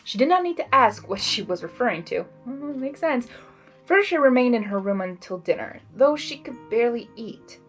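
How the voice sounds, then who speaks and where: A woman reading a story aloud as a host, with an excitable, gushing, expressive delivery. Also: on the high side, normal speed, faint music behind. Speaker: fan fiction reader and channel host.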